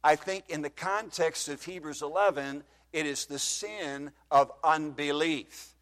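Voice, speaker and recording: Man in his 50s, preacher, fairly high voice, sermon, asks the congregation questions, speaking into a microphone. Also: unhurried, a bit bright. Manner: reads scripture in King James wording. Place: church hall, slightly echoey.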